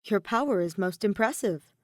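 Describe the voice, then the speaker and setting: A woman voicing a composed, mature teenage girl who speaks politely and formally in a measured way. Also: medium pitch, lively intonation, no room sound, studio quality, close to an everyday voice.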